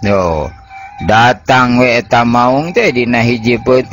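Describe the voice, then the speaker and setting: An older man narrating an old folktale as the channel's storyteller, unhurried and sing-song. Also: mid-range voice, fairly bright tone, soft background music.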